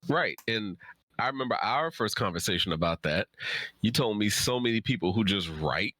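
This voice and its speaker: A man's voice: fairly low pitch, lively intonation, normal speed, pushed and bright, dry recording, poor audio. A man speaking as a writer guest in a casual interview, earnest and informal.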